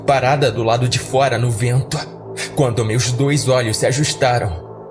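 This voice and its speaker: A man narrating a scripted horror story in a dramatic audio drama style. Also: medium pitch.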